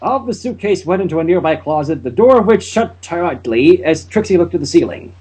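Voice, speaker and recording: A man's voice: a little high, sing-song, a little fast, a little mellow, slightly rough, low-quality recording. A man reading a fan story aloud, a bit hesitant at times. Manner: hesitant, with fillers and restarts. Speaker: fanfic reader.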